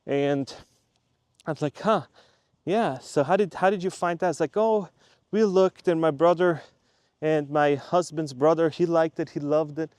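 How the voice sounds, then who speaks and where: A man's voice: fairly high pitch, unhurried, a little mellow, no room sound. Chatty man with a dry close sound. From Switzerland. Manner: chatty and rambling, repeats himself for emphasis. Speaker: YouTube creator. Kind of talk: casual talk to camera.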